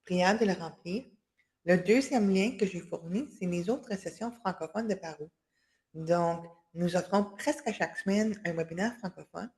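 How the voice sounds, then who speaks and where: A woman's voice: on the low side, normal speed, a little mellow. A woman hosting an online workshop over a video call, speaking with frequent fillers and restarts. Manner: hesitant, many fillers and restarts. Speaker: webinar host for a women's enterprise organization. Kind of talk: closing remarks of an online workshop.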